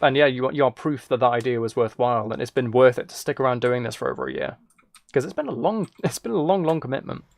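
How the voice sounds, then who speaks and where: Gravelly-voiced man chatting on a game stream. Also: medium pitch, very animated, quick pace. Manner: rambling, thinking aloud, replying to viewers. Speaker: livestreamer, gaming and ASMR channel creator. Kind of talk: casual live stream chat while gaming.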